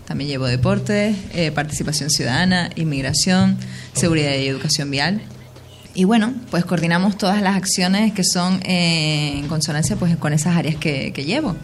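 A woman's voice: on the low side, moderate pace, slight background noise. A woman of thirty-one with a Canarian Spanish accent, answering informally in a radio interview, often repeating words as she searches for phrasing. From Lanzarote, Canary Islands, Spain. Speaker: local politician, youth councillor being interviewed.

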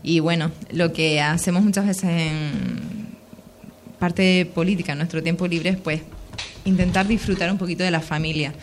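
A woman's voice: lowish voice, steady tone, moderate pace, slight background noise. A woman of thirty-one with a Canarian Spanish accent, answering informally in a radio interview, often repeating words as she searches for phrasing. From Lanzarote, Canary Islands, Spain. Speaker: local politician, youth councillor being interviewed.

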